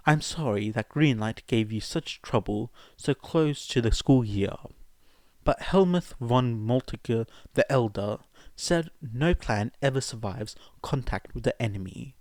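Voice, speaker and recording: A man's voice: medium pitch, a little mellow, no room sound, voice pushed up, lightly acted. A man voicing a polite, self-assured revolutionary reading out a formal letter in an audiobook.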